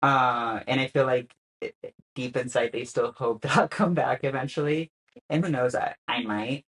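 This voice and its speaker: A young man speaks at an ordinary middle pitch. He talks casually and a little haltingly, with plenty of "uh" fillers between his thoughts. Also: rough recording. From Spain. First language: Spanish. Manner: relaxed, hesitant with frequent "uh" fillers and soft laughs. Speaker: guest. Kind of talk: casual interview chat.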